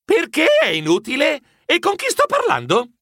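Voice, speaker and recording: An actor playing a timid, excitable mouse who narrates a children's story in a fretful, theatrical manner. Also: male voice, very high-pitched, normal speed, no echo, clean studio sound, heavily acted. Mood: alarmed, dramatic. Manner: animated, fretful, dramatic.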